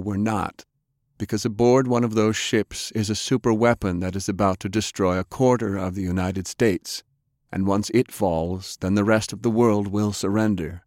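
A man voicing a resolute, calm pirate airship captain in an audiobook, speaking in a slow, measured, rallying way. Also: on the low side, no room sound, studio quality, close to an everyday voice. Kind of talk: audiobook character acting.

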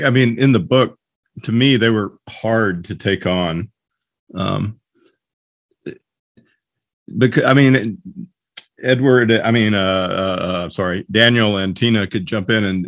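A man hosting a casual interview, speaking in a halting, searching way. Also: on the low side, no room sound. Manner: hesitant, halting phrasing. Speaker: interviewer, podcast host.

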